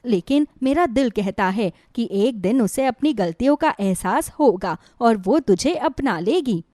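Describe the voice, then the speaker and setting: A woman plays a middle-aged mother in a storybook tale, comforting and hopeful. The voice is high and expressive, with soothing, earnest phrasing. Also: measured pace, no room sound, clean studio sound. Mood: tender, consoling. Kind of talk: dramatized Hindi moral storybook.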